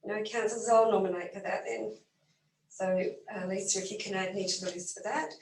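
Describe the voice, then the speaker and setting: Brisk woman chairing a meeting. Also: medium pitch, fairly even intonation. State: slightly croaky voice. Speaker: mayor chairing a council meeting. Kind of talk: formal meeting procedure. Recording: echoey room, far from mic, faint noise.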